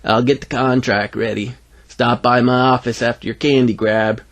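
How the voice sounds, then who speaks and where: A man voicing a sinister, oily male tombstone dealer who talks in slow, measured sales patter for a story. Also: medium pitch, fairly even intonation, close to an everyday voice. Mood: oily, coaxing.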